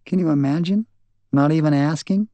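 A spiteful older woman, as a male narrator plays her in an audiobook. The voice is pitched well up, blunt and scornful, with a sullen, defiant edge. The recording is dry and close. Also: moderate pace, warm tone, dry recording, close to an everyday voice. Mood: resentful. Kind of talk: dramatised audiobook dialogue.

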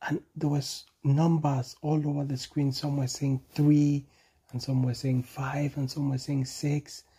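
Very dark, husky storytelling father. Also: medium pitch, flat delivery, unhurried. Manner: simple repetitive phrasing, prompts the child with questions. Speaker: father telling a bedtime story. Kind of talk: improvised children's storytelling.

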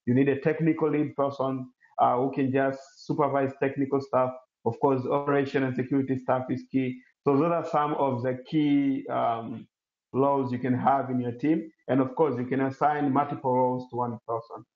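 A man from Tanzania whose first language is Swahili, giving an online presentation and answering questions, halting with many fillers and restarts. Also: medium pitch, little pitch movement. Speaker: webinar presenter, incubator CEO. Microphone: laptop mic on a video call. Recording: roomy, dull webinar audio.

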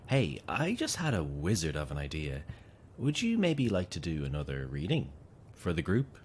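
Audio drama role: smooth-talking admirer, very deep, pushy charmer, slight noise behind. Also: male voice, normal speed. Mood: coaxing. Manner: flattering, insistent. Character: smooth-talking, coaxing male fan with hidden menace. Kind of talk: naturalistic audio drama acting.

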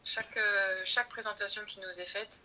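A woman's voice: mid-range voice, fairly even intonation, moderate pace, bright, forceful tone, a little hiss or hum, low-quality recording. A woman speaking as a podcast guest about her teacher training, thoughtful and hesitant, often restarting her sentences. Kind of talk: conversational interview.

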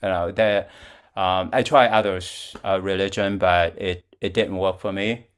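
An older man from Vietnam, a Buddhist monk, calmly giving a dharma talk over a video call, speaking slowly and patiently with frequent restarts. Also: deep voice, clean tone. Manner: calm, slow and patient, with restarts. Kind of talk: dharma talk answering questions. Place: plain-walled room at home.

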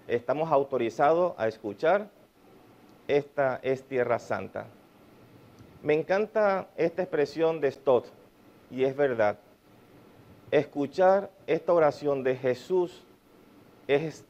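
A man in his forties preaching a sermon while seated at a desk, measured and emphatic, repeating key phrases. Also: mid-range voice, darkish tone. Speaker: pastor. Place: small church stage with painted mural, indoors. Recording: slightly dull lo-fi sound.

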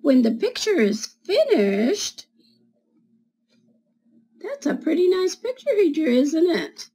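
A woman in her seventies reading a children's picture book aloud in a deliberate manner, as a storyteller talking to young listeners. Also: very high-pitched, wildly swooping pitch. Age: 70s. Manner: deliberate, explaining pictures with gentle questions to children. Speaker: read-aloud storyteller for schoolchildren. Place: small home room with bookshelves, indoors. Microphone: webcam at desk distance.

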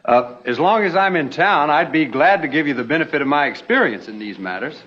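Smooth, lively young western promoter. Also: male voice, on the high side, brisk, slight background noise. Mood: genial, casual. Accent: American. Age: young adult. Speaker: actor in a TV western. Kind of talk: 1960s TV western acting. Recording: dull old television soundtrack.

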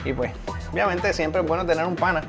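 Higher-voiced Puerto Rican man over music. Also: fast. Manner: joking, colloquial. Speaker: chef and cooking show host. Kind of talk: casual chat while demonstrating cooking. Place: home kitchen. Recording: music playing behind the voice.

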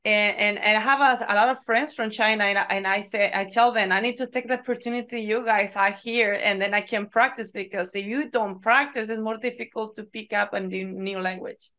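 A woman hosting a podcast interview over a video call, halting and repeating words as she agrees. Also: mid-range voice, fairly even intonation, sharp tone. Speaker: podcast host.

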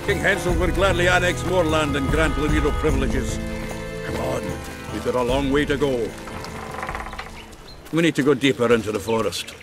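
A man playing a gruff, hearty, foul-mouthed dwarf warrior in a video game, blunt and grumbling. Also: mid-range voice, moderate pace, over music, lightly acted. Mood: blunt, indignant.

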